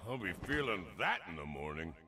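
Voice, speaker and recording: An actor playing a gruff old veteran warrior in a video game, gravelly, with a dry, sarcastic, folksy delivery. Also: male voice, low voice, big pitch swings, fairly quick. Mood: sardonic.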